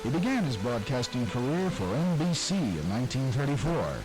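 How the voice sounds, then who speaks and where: A man announcing and introducing an archived radio segment in scripted narration with measured, formal delivery. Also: medium pitch, very animated, rough recording.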